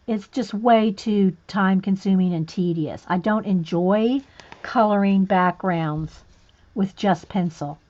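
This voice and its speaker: A woman talks slowly and easily through a painting livestream, answering viewers' comments as she works at her table. She drifts into soft, half-muttered asides about her brush and colors. Her voice sits at an ordinary pitch, and the audio sounds dull and lo-fi. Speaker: art livestream host. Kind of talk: casual livestream talk while painting. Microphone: overhead camera on hands and page.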